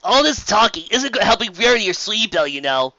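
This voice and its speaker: A man's voice: high-pitched, sing-song, a little fast, pushed and bright, no echo, muffled recording, pitched above the performer's own voice, full character voice. A man voicing a brash, blunt, impatient female cartoon pegasus pony in a story reading.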